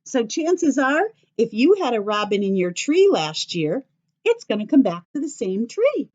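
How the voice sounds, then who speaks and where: An American woman reading aloud to young children like a teacher, expressive and sing-song, addressing them with questions. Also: fairly high pitch, unhurried, dull audio. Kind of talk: children's story read-aloud.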